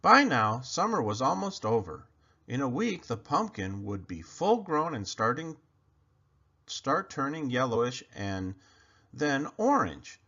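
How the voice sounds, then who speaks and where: A man reading a children's storybook aloud in an expressive, emphatic storytelling manner. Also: medium pitch, a little slow, lo-fi sound. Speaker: storybook reader.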